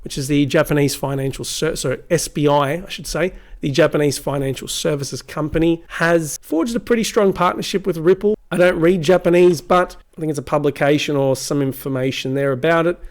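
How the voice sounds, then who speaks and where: Croaky-voiced man reading crypto news. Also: a little high, brisk, hardly any pauses. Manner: reads quotes aloud with frequent restarts and asides. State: slightly croaky voice.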